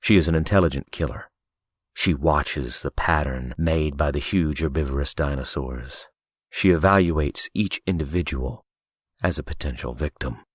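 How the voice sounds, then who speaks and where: A man's voice: very deep voice, moderate pace, dry recording. A man reading scripted audiobook narration as a professional narrator, close on a studio mic.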